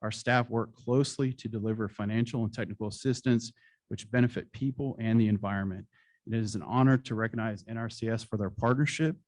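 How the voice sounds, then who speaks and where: A man presenting awards at a conference, reading citations in a formal, measured way with occasional slips. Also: lowish voice, steady tone, darkish tone, no echo. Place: conference hall stage.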